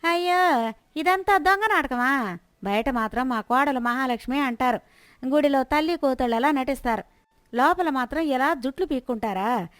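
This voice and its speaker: A woman voicing a scandalized, gossipy village neighbour who scolds in loud exclamations, in a moral story. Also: sits high, animated, moderate pace, no echo. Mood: scornful. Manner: exclamatory, reproachful.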